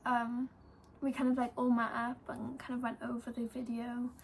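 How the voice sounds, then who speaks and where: A woman's voice: on the high side, monotone, normal speed, vocal fry, slight background noise. An eighteen-year-old woman with a Welsh accent, casually telling a story to camera, full of "like" and "um". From Wales. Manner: chatty, lots of "like" and "um". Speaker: vlogger.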